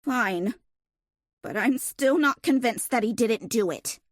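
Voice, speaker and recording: A woman's voice: a little high, animated, slow, dry recording, clean studio sound. A woman playing a stubborn, easily hurt young woman in an audio drama, with emphatic, accusing delivery. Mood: grudging. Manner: emphatic, outraged outbursts.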